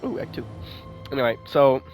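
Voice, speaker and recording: A man's voice: medium pitch, wildly swooping pitch, normal speed, poor audio. A man commentating casually on a video game as he plays, informal and sweary, often repeating his phrases. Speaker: game streamer. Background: faint game music.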